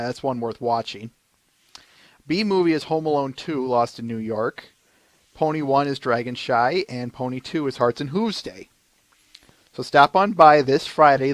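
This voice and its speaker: A man's voice: medium pitch, no room sound. A man hosting a casual podcast, announcing the week's schedule in an easygoing way with frequent fillers. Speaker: podcast host.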